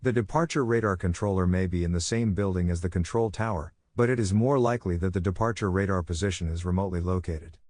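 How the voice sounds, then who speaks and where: A man reading a technical handbook aloud as scripted audiobook narration, in a measured, instructional manner. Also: low-pitched, a little mellow, no echo.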